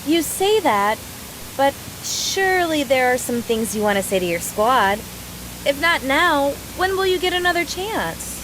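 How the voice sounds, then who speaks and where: High, lively, brash young soldier girl. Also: unhurried, background noise. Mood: teasing. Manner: teasing, casual, boisterous. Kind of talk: video game voice drama.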